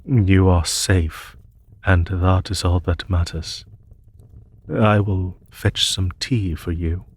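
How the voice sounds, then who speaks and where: Deep, husky, courteous demon emperor played by a man. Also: steady tone, exaggerated voice. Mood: soft reassurance. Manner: formal, measured, reassuring, polite phrasing. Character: courteous, solemn, gentle male demon emperor rescuing an injured human. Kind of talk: scripted audio roleplay addressed to the listener.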